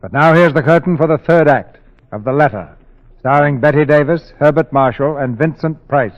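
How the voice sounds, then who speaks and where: A man's voice: on the high side, normal speed. A man hosting a 1940s radio theatre, reading scripted narration in a formal, dramatic way. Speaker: radio show host and narrator. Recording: dull 1940s radio broadcast.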